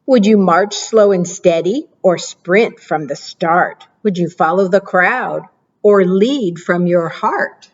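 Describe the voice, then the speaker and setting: A woman librarian reading picture books aloud to preschoolers, with expressive, animated delivery, asking the children questions and praising their answers. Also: takes it slowly, muffled recording. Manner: expressive, animated read-aloud with playful pitch jumps.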